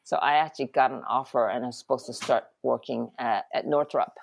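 A middle-aged woman from Japan, an animation producer, recounting her career in a relaxed interview with frequent ums and restarts. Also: very deep voice, brisk, vocal fry, slight background noise. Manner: reflective, many ums and restarts.

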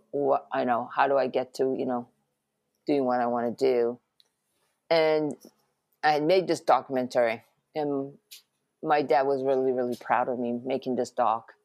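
Gravelly-voiced woman telling her story. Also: rumbling low, a little mellow, no room sound, clean studio sound. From Japan. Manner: reflective, many ums and restarts. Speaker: guest, animation producer. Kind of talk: relaxed interview storytelling.

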